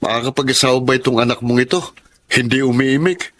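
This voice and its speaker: A man's voice: mid-range voice, fast talker, fairly bright tone, slight background noise, lightly acted. A man playing a teasing, casual bachelor cousin in a Filipino radio drama. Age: 30s. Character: teasing bachelor cousin, thirty years old.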